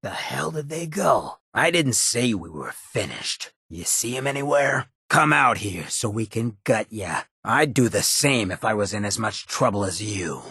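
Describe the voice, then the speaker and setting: A male actor playing a roguish, swaggering ghoul leader in a video game, with a gravelly rasp, an American accent and a cocky, streetwise drawl. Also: lowish voice, expressive, unhurried, hardly any pauses, pushed and bright, no room sound, studio quality, full character voice. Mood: wry, alert. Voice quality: raspy, gravelly. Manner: cocky, streetwise drawl with clipped slangy phrasing. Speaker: companion character in a video game. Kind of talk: video game character voice lines.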